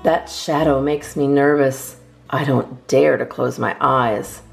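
A woman's voice: a bass voice, fairly even intonation, slow pace, vocal fry, faint music behind. A woman hosting a storytime, reading children's poems aloud expressively with theatrical emphasis. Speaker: storytime host reading a picture book.

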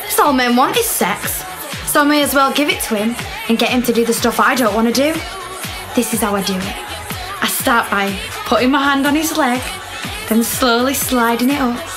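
Actress as brash, high-voiced young party girl. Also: moderate pace, over music, close to an everyday voice. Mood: brazen, teasing. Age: young adult. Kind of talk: naturalistic student radio drama acting.

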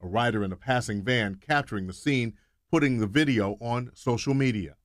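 A middle-aged man reporting an investigative news story in a measured, emphatic scripted delivery. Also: medium pitch, no echo, clean studio sound.

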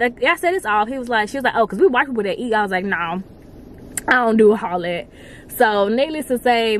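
A woman's voice: medium pitch, a little hiss or hum. A woman, chatting and telling a story in a parked car, talking to a dashboard camera. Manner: animated storytelling, talks with her hands. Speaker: vlogger. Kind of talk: casual car chat.

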